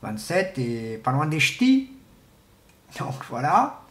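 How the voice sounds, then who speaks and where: A middle-aged man giving an informal, unscripted explanation in a halting, colloquial way, repeating himself for emphasis, as an amateur radio hobbyist. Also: a little high, wildly swooping pitch, noisy background. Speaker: amateur CB radio enthusiast presenting. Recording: echoey, roomy sound.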